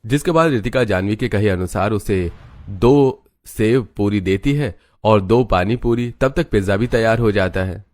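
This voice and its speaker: A man's voice: fairly low pitch, normal speed, darkish tone, no echo. A man narrating a scripted moral story as its storyteller.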